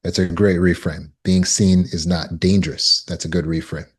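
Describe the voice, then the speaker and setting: Male self-help coach, very deep voice, slow and deliberate, repeats affirmations. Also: flat delivery, breathy edge. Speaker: trauma recovery coach. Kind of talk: self-help teaching talk.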